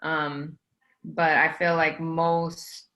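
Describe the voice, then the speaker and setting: A woman's voice: sits low, little pitch movement, drawn-out words, sharp tone. A woman OB-GYN physician chatting casually on a conference panel, with frequent restarts and fillers. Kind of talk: panel conversation.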